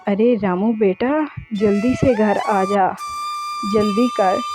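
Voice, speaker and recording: A woman's voice: mid-range voice, fairly even intonation, takes it slowly, over music, close to an everyday voice. A woman voicing a scheming older mother-in-law in a cartoon horror story, dramatic and lamenting. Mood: feigned grief.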